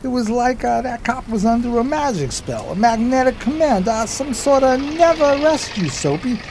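Man acting a lively, streetwise 1920s hobo sidekick. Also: very high-pitched, normal speed, slight background noise. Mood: amazed. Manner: slangy, folksy, ungrammatical street talk. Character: streetwise, simple, loyal homeless male sidekick in 1920s New York. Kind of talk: radio theater acting.

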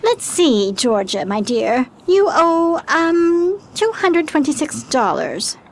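Woman acting high, lilting 1920s gambling-parlor hostess. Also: very animated, slow pace, exaggerated voice. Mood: gracious, coaxing. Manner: gracious, coaxing, sugary. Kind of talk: 1920s period radio theater acting.

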